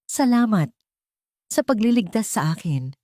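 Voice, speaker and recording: A woman narrator acts out a wounded general in an audio story. He sounds hoarse and weak, labouring over his words as he pleads in remorse. The recording is close and dry. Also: medium pitch, sing-song, a little slow, mellow, no room sound, voice pushed up. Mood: weak, grateful. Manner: labored, pleading.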